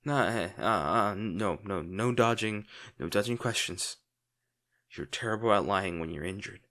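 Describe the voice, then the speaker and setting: A man playing a crime-boss villain in an intimate audio roleplay, secretly tender and worried, speaking sardonically with dramatic pauses. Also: fairly low pitch, bright, forceful tone. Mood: pressing, insistent.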